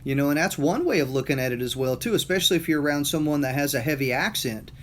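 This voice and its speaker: A man's voice: on the high side, animated, quick pace, slight background noise. A middle-aged man with a Southern US accent, talking casually and motivationally, rambling with many fillers and repeated words. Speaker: motivational talker. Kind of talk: casual monologue.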